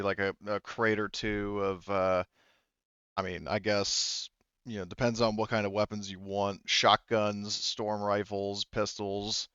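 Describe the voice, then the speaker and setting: Smooth, low-voiced man running a game. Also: a bit bright, dry recording, highs cut off. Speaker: game master. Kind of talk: casual chat running a tabletop game.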